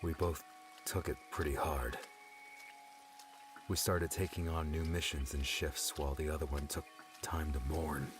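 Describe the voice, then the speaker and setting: Casting: haunted, tender young adventurer played by a male narrator, extremely deep voice, reflective and halting, music underneath. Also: steady tone. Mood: grieving.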